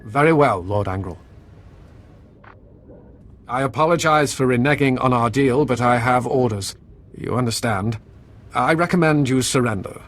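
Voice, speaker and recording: A man's voice: medium pitch, fairly bright tone, close to an everyday voice. Man playing a formal, composed Imperial spymaster. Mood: resigned resolve. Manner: formal, measured, courteous.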